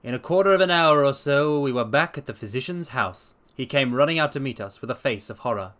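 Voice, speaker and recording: Man, dramatic story reader, lively melodic delivery, casual streamer asides. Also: mid-range voice, a little fast. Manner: expressive, animated. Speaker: streamer reading a story aloud to viewers.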